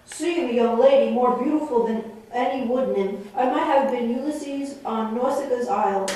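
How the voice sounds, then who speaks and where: A woman's voice: a little high, moderate pace, warm tone, slight background noise, natural voice. A woman playing a gallant, lovestruck young prince in florid, courtly stage dialogue. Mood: defiant. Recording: very echoey room, far from mic.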